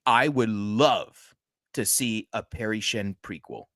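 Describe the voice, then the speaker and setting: A man co-hosting a horror movie podcast in casual chat, excitable, laughing often and swearing when worked up. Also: on the low side, takes it slowly, no echo, clean studio sound. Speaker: podcast co-host.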